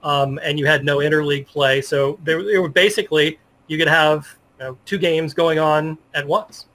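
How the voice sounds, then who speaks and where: A middle-aged man with a very bright, forward voice sitting somewhat high in pitch. He talks casually as an interview guest, picking his way through sentences in a halting, modest manner. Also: steady tone. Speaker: interview guest, sports journalist. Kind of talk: casual interview conversation about fantasy sports.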